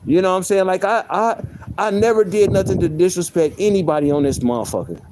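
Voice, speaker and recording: Soft-voiced man venting, high pitch. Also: fast, noisy background. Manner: heated, profane, repeating himself. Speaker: livestreamer. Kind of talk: venting on a live stream.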